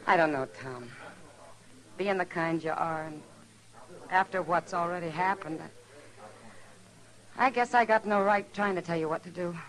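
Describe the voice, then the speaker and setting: Woman playing a low-toned western saloon woman. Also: moderate pace, pauses often, fairly bright tone, natural voice, low-quality recording. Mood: resigned. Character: worldly, caring saloon woman, pleading yet resigned. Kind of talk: old-time radio western acting.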